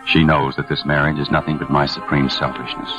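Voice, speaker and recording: Very low-voiced brooding husband, bitter yet tender, vintage radio drama, dull recording, faint music. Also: male voice, little pitch movement, fairly quick. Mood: bitter, anguished. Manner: earnest, brooding, dramatic delivery. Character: scarred, bitter but tender young husband, war-wounded. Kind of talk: 1940s radio drama acting.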